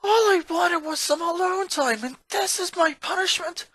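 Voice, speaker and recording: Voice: very high-pitched, normal speed, bright, forceful tone, no echo, pitched above the performer's own voice. A narrator voicing a frustrated, sulky preteen boy in a story reading, exasperated and indignant. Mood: angry ranting. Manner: exasperated ranting and complaining.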